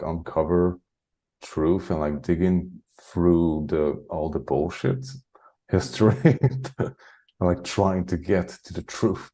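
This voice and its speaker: Man, deep and dark-toned, loose rambling interview guest who chuckles often. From Hungary. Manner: rambling, heavy use of "like", frequent chuckles. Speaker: guest, spiritual channeler. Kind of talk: casual interview chat.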